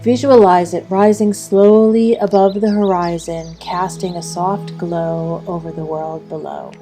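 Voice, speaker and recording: A woman leading a guided meditation as an instructor, speaking in a measured, soothing way with long pauses. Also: fairly low pitch, steady tone, dark tone. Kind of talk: slow guided meditation narration. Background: music and birdsong.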